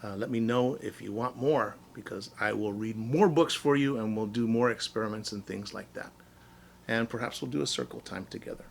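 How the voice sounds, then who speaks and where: A man reading a storybook aloud as a teacher, speaking deliberately and simply to young children. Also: fairly low pitch, gravelly, slight background noise.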